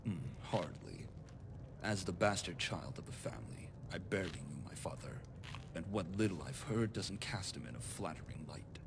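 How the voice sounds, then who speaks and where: An actor playing a cold, calculating nobleman in a video game, speaking in a formal, measured, condescending way. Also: low-pitched, lively intonation, creaky, noisy background. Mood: stern, haughty. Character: calculating noble bastard son demanding repayment, cold and composed. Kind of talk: video game mod voice acting.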